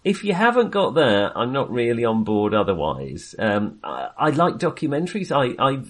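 A man co-hosting a film podcast, talking casually in a halting, rambling, self-deprecating way. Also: medium pitch, big pitch swings, a bit bright.

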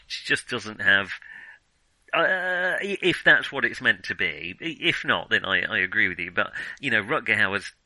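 A man co-hosting a casual film discussion, speaking in a hesitant, halting way with thoughts that trail off. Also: lowish voice, expressive, pushed and bright, dry recording, rough recording. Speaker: film podcast co-host.

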